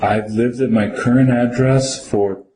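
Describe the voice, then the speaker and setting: A man's voice: mid-range voice, fairly even intonation, unhurried, noisy background. An older man from Venezuela answering citizenship interview questions in short, complete, careful sentences. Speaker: citizenship applicant in a mock interview. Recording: roomy echo with faint ambience.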